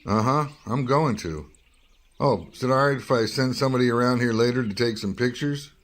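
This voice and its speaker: A man's voice: medium pitch, fairly quick, a little hiss or hum, close to an everyday voice. A man acting in a radio drama as a wry, skeptical investigative reporter, both speaking in scenes and narrating. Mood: skeptical, probing. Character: wry, probing male investigative newspaper reporter.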